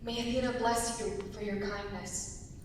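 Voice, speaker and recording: A woman's voice: fairly even intonation, normal speed, lightly acted. A young woman playing a wounded, devout young woman urgently seeking help, in a live dramatic reading. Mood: grateful, polite. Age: young adult. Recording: echoey hall from far off, rumble.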